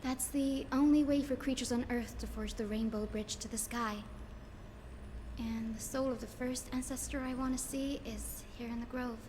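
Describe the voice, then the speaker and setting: A woman voicing a gentle, hopeful young healer in a fantasy video game, speaking softly and sincerely. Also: on the high side, fairly even intonation, moderate pace, noisy background, lightly acted. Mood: sincere, determined. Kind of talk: scripted game voice acting. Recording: long reverb under loud game music.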